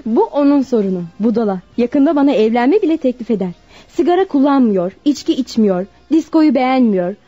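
A woman's voice: high voice, sing-song, normal speed, mellow. A woman acting a haughty, cruel young socialite in a Turkish radio drama, scornful and mocking. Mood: mocking, contemptuous. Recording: muffled lo-fi radio recording, music behind.

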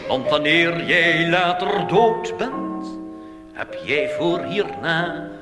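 Man singing as a hearty high-voiced recruiting monk, cabaret. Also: expressive, over loud music. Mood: mock-solemn, rousing. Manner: hearty, declamatory, drawn-out delivery. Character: jolly recruiting monk wooing boys in song. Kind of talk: comic cabaret song. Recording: live hall recording with long reverb.

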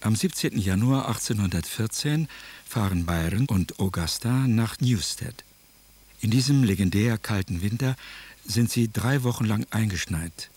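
Low, husky male narrator. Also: animated, moderate pace, a little mellow. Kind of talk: scripted documentary narration in a radio drama.

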